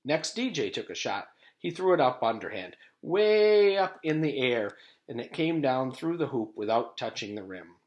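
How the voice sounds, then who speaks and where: A man reading a children's chapter book aloud as a teacher does for students, in an animated storytelling style. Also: medium pitch, normal speed, muffled recording.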